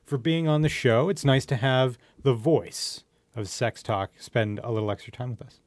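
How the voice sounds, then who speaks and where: A man hosting a podcast, putting casual quick-fire questions to a guest in a playful, teasing way. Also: medium pitch, no room sound. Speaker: podcast host.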